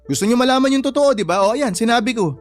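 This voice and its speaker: An actor playing a protective, exasperated adult son in a radio-style drama, blunt and earnest, with a Filipino accent. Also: male voice, extremely high pitch, animated, fast, faint music behind.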